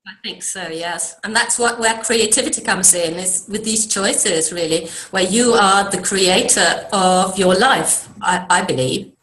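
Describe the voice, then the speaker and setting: A middle-aged woman from Denmark, a guest in a video interview, speaking softly and reflectively and often trailing off quietly. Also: medium pitch, unhurried, hardly any pauses. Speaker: guest, creative mentor. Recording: echoey room sound.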